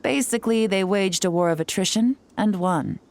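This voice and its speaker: A woman playing a cool, shrewd young intelligence agent in a video game, speaking in a measured, knowing, composed way. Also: medium pitch, a little mellow, a little hiss or hum, lightly acted. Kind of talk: video game voice acting, story exposition.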